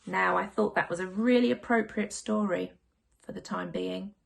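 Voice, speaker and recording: A woman reading a picture book aloud to children as a school storyteller, in an expressive narrating style. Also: medium pitch, moderate pace, creaky.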